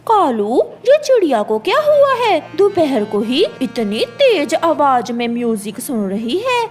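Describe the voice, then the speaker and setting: A woman's voice: sits high, wildly swooping pitch, measured pace, darkish tone, faint music behind, exaggerated voice. A woman voicing a quarrelsome, complaining female crow wife in a cartoon, with a whiny manner. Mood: irritated. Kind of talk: Hindi cartoon dialogue.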